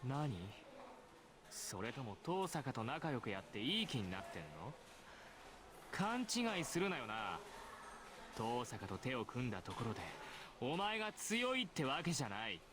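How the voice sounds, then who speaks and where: An actor voicing a smug, condescending teenage schoolboy in a visual novel. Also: male voice, medium pitch, very animated, a little fast, lightly acted. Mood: contemptuous. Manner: condescending, sneering. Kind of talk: Japanese visual novel voice acting.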